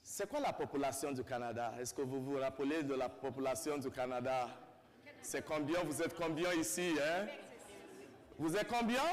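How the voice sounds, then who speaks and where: High-pitched Nigerian priest preaching in reverberant church. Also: male voice, a bit bright. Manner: rhetorical questions to listeners, repeats phrases for emphasis. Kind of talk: homily to a congregation. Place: large reverberant church indoors.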